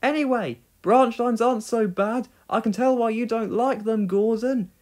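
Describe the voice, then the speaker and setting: A man voicing a vain, excitable young steam engine in a storybook reading. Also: very high voice, moderate pace, voice pushed up. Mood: scornful. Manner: indignant, boastful, wistful by turns.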